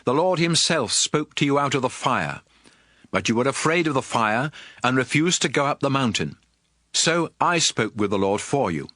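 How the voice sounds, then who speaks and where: A man's voice: mid-range voice, expressive, normal speed, pushed and bright, no echo. An actor playing a solemn, commanding male prophet who addresses his people with emphatic oratory in a dramatized audio Bible.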